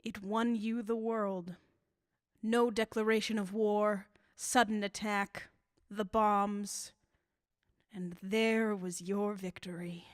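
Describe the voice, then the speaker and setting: A woman's voice: mid-range voice, level tone, dry recording, natural voice. Woman acting a dryly defiant elderly scientist, close-sounding. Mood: composed, resigned. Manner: measured, dryly sardonic, deliberate. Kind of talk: 1930s-style radio drama acting.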